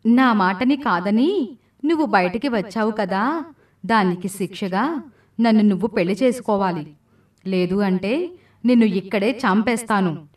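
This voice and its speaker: A woman's voice: mid-range voice, lively intonation, a little slow, long pauses, recorded from a distance, natural voice. A woman voicing a cursed demoness from a storybook tale, with a commanding, dramatic delivery. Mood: menacing, demanding. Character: cursed watermelon demoness demanding marriage, menacing then remorseful.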